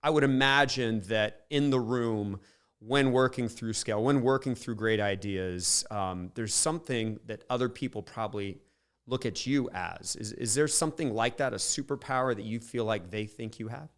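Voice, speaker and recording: A male podcast host with a smooth, resonant voice at a middle pitch. He asks long, wandering questions padded with fillers. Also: moderate pace. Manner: rambling, wordy questions with fillers. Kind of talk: interview questions.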